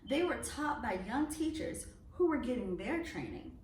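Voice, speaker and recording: A woman reading a children's picture book aloud in an expressive storytelling way. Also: high voice, moderate pace. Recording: echoey room, distant mic, faint noise.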